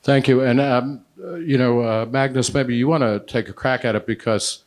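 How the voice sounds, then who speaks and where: A man's voice: mid-range voice, moderate pace. A man moderating a live panel informally, taking questions with a handheld microphone. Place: live panel event, moving among audience with mic.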